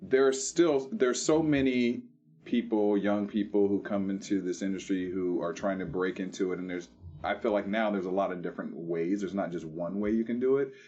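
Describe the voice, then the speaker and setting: A man hosting an interview, asking questions and encouraging his guest with quick laughs and short replies. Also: deep voice, warm tone, muffled recording. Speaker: show host interviewer. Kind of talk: casual interview chat.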